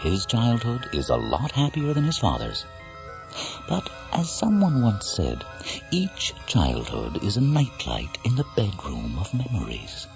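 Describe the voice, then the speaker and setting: A sinister radio-drama narrator with a low, gravel-edged voice. He tells eerie tales in a measured, theatrical way, with dramatic rises and falls over music. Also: huge pitch swings, exaggerated voice. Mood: brooding. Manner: measured, ominous, storytelling delivery.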